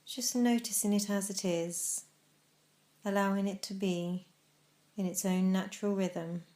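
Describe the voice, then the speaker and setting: Woman guiding a meditation with measured phrasing. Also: medium pitch, fairly even intonation, warm tone. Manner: measured, instructional, with deliberate pauses. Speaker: meditation guide. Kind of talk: guided meditation narration.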